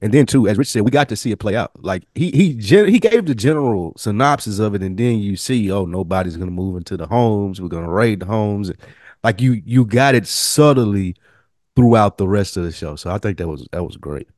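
Low, dry-sounding man chatting casually. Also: expressive, brisk, darkish tone, no room sound. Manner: slangy, loose. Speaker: podcast co-host. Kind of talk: casual TV discussion.

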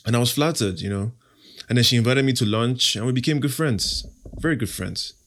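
A man's voice: mid-range voice, brisk, a bit bright. A young man from Nigeria, an Igbo speaker, answering interview questions in a confident, playful, self-assured way. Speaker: podcast host, interview guest.

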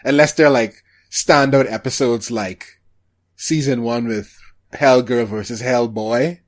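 Halting, casual man on a podcast. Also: medium pitch. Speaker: podcast co-host. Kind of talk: casual chat about anime shows.

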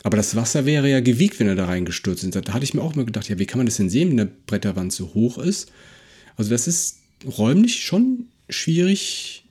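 A man's voice: mid-range voice, sing-song, brisk, dark tone, slightly rough. A man talking casually as a podcast co-host about an audio drama, with thoughtful, somewhat hesitant phrasing.

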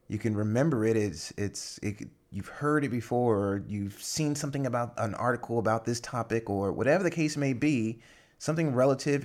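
A man's voice: mid-range voice, a little mellow. A young man hosting a solo podcast, talking casually and rambling with many fillers and restarts. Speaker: podcast host.

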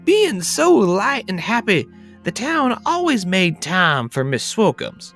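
A man playing a folksy, rustic yarn-spinner who tells a dark tale in a drawling, colloquial, theatrical way. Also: sits high, very animated, takes it slowly, faint music behind, exaggerated voice. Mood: lively, wistful. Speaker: podcast host reading a tale. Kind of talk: theatrical dramatic storytelling.

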